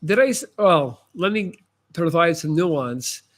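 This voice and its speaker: Man, slightly high voice, Dutch native from Belgium, American-sounding accent, casual livestream chat, emphatic, slangy. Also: animated, slow, fairly bright tone. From Dutch-speaking Belgium. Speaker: livestream host giving advice. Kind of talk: casual live chat, answering comments.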